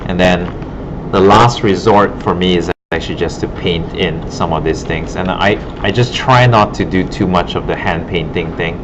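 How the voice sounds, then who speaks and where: A man's voice: low-pitched, moderate pace, hardly any pauses. A man giving an informal classroom art demonstration, thinking aloud casually as he works. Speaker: digital art instructor. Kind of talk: informal classroom demonstration, narrating while working. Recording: muffled, broken audio with loud room hum.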